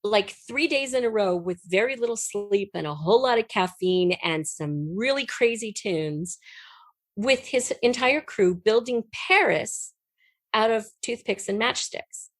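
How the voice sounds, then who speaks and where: A woman with a medium-pitched voice speaks as a guest in a casual interview. She tells anecdotes in an animated way and pauses to think. The recording is dry and close, with very little room sound. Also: no echo. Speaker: podcast guest, audio drama writer and producer.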